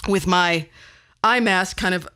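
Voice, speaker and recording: A woman's voice: fairly low pitch, slow pace, pushed and bright, clear, resonant tone. A woman hosting a podcast, telling comic personal stories in a playful, conversational way. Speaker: podcast host. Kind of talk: comedic personal storytelling podcast.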